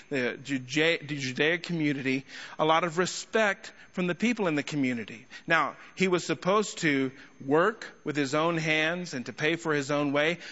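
A man preaching an expository sermon to a congregation, speaking emphatically with rhetorical questions and deliberate pauses. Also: a little high, bright, forceful tone. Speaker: guest preacher and teacher. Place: large indoor church hall. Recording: echoey large hall, slightly muffled sound.

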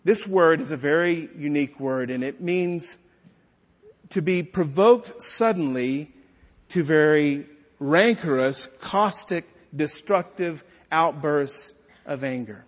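Male pastor, measured sermon delivery with pointed asides, muffled recording in a reverberant sanctuary. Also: on the high side. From Texas. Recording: dull lo-fi sound in a reverberant hall.

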